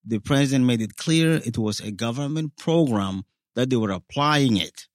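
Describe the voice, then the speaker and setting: A man's voice: medium pitch, expressive, normal speed, dry recording, clean studio sound. Earnest man from South Sudan recounting his story. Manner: earnest storytelling, acting out quoted dialogue. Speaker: guest. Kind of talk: personal testimony in interview.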